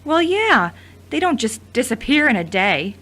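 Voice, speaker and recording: A woman's voice: high voice, sing-song, normal speed, fairly bright tone, heavily acted. A woman acting in an audio drama as a hurt, jealous, rejected woman with a sulky, petulant manner. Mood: hurt, indignant.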